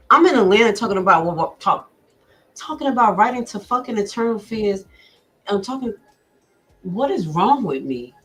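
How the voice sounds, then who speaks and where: A woman's voice: mid-range voice, fairly bright tone, rough recording. A woman vlogger giving blunt, profane commentary while reacting to a recording and talking straight to her viewers. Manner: addresses viewers directly.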